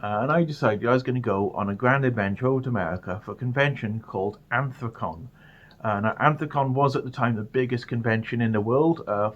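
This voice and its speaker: British male vlog storyteller, mid-range voice, casual and stammering, slightly muffled lo-fi recording. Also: mid-range voice. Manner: hesitant, stammering delivery with repeated words. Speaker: storyteller, channel host.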